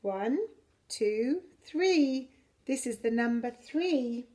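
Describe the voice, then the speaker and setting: Middle-aged woman, higher-pitched voice, very unhurried, expressive preschool storytelling. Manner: slow, deliberate, expressive delivery for little children. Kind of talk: picture-book reading for young children. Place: preschool classroom, indoors. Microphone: camera a short distance away.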